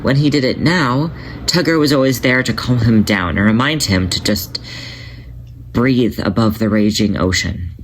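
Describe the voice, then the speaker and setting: Guy reading his own fanfic out loud, steady and measured, middle pitch. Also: slight background noise, poor audio. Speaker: author reading own fan fiction. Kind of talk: podfic story narration.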